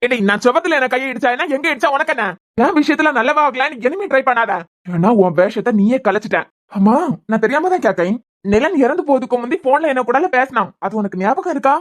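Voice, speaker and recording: A woman's voice: sits high, animated, quick pace. A woman voicing a bitter, hurt young husband, sarcastic and repeating words in anger. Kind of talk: amateur audio drama.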